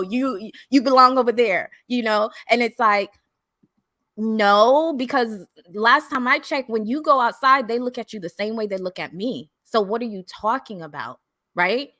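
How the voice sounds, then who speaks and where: Young woman on a live panel, bright voice, dry close sound, keeps restarting her words. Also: fairly high pitch, no echo. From Jamaican household. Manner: earnest, repeats and restarts words.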